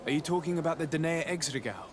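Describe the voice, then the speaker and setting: A man playing a composed young noble diplomat in a video game, speaking in a formal, measured and polite way with quiet resolve. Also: fairly high pitch, some chatter behind, slight background noise, natural voice. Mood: calm, firm. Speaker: diplomat character. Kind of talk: video game cutscene acting.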